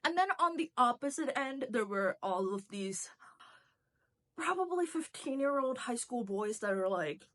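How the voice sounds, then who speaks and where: A young woman from India, a vlogger chatting casually to camera, animated and chatty, laughing often and calling people "bro". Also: on the high side, measured pace. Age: young adult.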